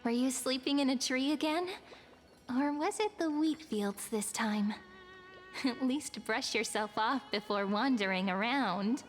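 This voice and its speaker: Woman doing a playful village girl from an anime game, high pitched, giggly scolding. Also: measured pace, lightly acted. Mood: teasing. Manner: teasing, giggly, gently scolding. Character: playful, teasing young village girl, affectionate childhood friend. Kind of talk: anime-style video game acting.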